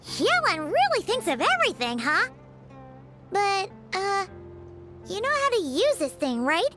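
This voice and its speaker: A woman voicing a tiny, excitable floating female companion who fusses and chatters in a video game. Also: extremely high pitch, a little slow, exaggerated voice. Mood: anxious. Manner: fussy, talks about herself in third person. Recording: game audio with faint music behind.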